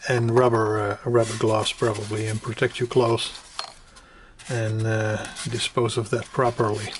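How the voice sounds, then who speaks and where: A man presenting an informal hands-on do-it-yourself tutorial, explaining step by step in a halting way and repeating his instructions. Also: on the low side, flat delivery. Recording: clearly noisy home recording with clicks.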